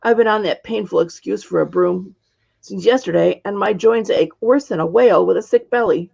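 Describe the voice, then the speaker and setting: A woman voicing a spry, blunt ninety-year-old witch from a storybook, husky and full of complaints. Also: mid-range voice, normal speed, a little mellow, muffled recording. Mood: weary grumbling. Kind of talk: storybook character reading.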